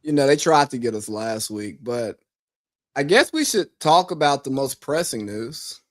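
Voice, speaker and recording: A man's voice: mid-range voice, expressive, measured pace, no room sound, studio quality. A man from the South, co-hosting a sports podcast in a teasing, laid-back way. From rural US South. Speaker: podcast co-host. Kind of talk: casual sports podcast chat.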